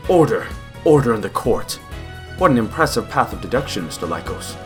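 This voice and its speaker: A man's voice: lowish voice, sing-song, fast talker, music in the background. Man playing a formal courtroom judge. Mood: solemn. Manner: formal, declamatory. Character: authoritative, formal male judge. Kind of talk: audio drama courtroom acting.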